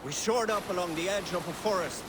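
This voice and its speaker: A Viking seafarer played by an actor spins a tale in a video game. He is a high-voiced man with a storyteller's phrasing, heard over very loud water and boat noise. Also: a little fast, natural voice. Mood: reminiscing. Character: male Viking seafarer spinning an old raiding tale.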